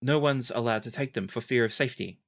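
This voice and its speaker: A woman's voice: very deep voice, fast, gravelly, full character voice. Woman playing a nervous, deferential palace clerk. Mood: nervous, evasive. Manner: hesitant, formal, apologetic.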